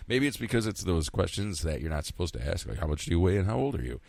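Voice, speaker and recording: A deep-voiced man in his fifties hosts a solo podcast, rambling loosely from thought to thought, now and then cursing. His voice is close and dry, with no room sound around it. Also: very animated, fast talker, vocal fry, a little mellow, dry recording. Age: 50s. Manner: rambling, self-talking, frequent swearing. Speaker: podcast host. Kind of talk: casual solo podcast monologue.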